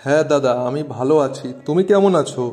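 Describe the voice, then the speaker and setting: A man's voice: on the high side, fast talker, a little mellow, lightly acted. A man voicing a trusting, good-natured young village grocer, polite and earnest, in a moral-story cartoon.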